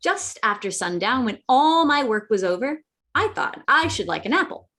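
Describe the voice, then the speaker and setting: Boy adventurer narrator, played by a woman: slightly high, animated, earnest storytelling for radio drama. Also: moderate pace, heavily acted. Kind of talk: scripted narration, radio play reading.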